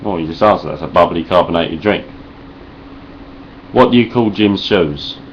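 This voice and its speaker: Low-voiced essex geezer mumbling sarcastic rants at his webcam, bit of hiss, dull muffled audio. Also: normal speed. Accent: Cockney Essex. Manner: deadpan, sarcastic, rambling, heavy swearing. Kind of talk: sweary deadpan vlog response.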